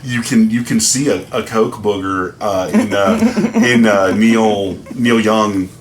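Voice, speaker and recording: A man's voice: fairly low pitch, very animated, unhurried, slight background noise. A man co-hosting a comedy podcast, cracking jokes and breaking into bits of songs in loose casual chat. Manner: joking interjections, sings song snippets. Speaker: podcast co-host.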